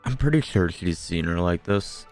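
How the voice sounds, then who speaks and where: A man's voice: deep voice, animated, normal speed, music in the background. A man casually reading along and reacting as he plays through a story game. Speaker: gamer playing through a story quest.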